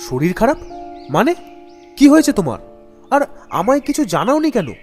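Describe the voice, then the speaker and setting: A man voicing an earnest young student son in an animated ghost story, with an emotive, pleading delivery. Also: very high-pitched, brisk, soft background music, full character voice. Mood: upset, insistent.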